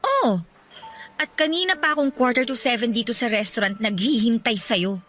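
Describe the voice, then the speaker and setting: Actress as exasperated young Filipina girlfriend for radio drama; high-pitched, sharp, accusing delivery over soft music. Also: moderate pace, close to an everyday voice. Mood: annoyed, scolding. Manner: nagging, accusing, emphatic. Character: fed-up young woman confronting her unfaithful boyfriend.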